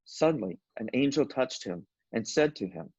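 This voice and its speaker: A man's voice: mid-range voice, expressive, moderate pace, a little mellow, breathy edge, dry recording, dull audio. A man narrating a scripture story as a scripted reading.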